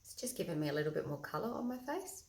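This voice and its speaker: Husky low-voiced woman, echoey room. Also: big pitch swings, fast. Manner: loud, chatty, talks through each step. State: early morning, no coffee yet. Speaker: makeup vlogger. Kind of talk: casual makeup tutorial.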